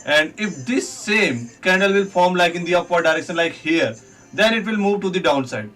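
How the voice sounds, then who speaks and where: Brief: male trading streamer, slightly high voice, off-mic, faint music bed, live chart walkthrough. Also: lively intonation, moderate pace, fairly bright tone, recorded from a distance. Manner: talks viewers through charts while reading chat.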